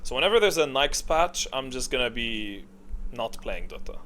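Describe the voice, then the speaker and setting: A man's voice: medium pitch, big pitch swings, normal speed, a bit bright. A man giving casual off-the-cuff gaming commentary, rambling as he thinks aloud and corrects himself. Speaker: video creator and gamer. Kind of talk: casual off-the-cuff commentary ranking game characters.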